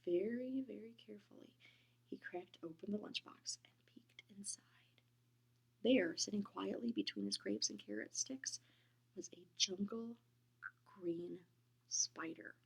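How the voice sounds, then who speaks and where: A woman reading a children's book aloud, gentle and expressive, often dropping into a whisper. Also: mid-range voice, unhurried, vocal fry, husky, rough recording. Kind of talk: storybook read-aloud.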